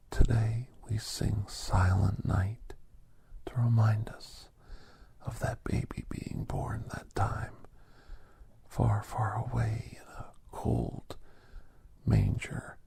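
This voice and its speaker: Gentle doctor storyteller, played by a man, breathy near-whisper, very slow and reflective, for hushed ASMR. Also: on the low side, little pitch movement, exaggerated voice. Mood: reverent, calm. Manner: slow, hushed, halting short phrases.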